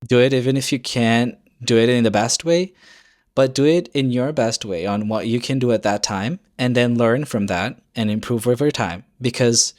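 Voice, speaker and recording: A man's voice: mid-range voice, normal speed. A young man speaking English as his second language, giving earnest, encouraging advice in a casual vlog and often searching for words and restarting phrases. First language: not English. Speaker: YouTuber.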